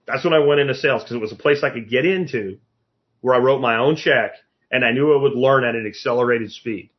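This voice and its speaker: A man's voice: medium pitch, a little fast, muffled recording. A man in his fifties giving a blunt, profane motivational talk as a podcast host, lecturing his listeners. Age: 50s.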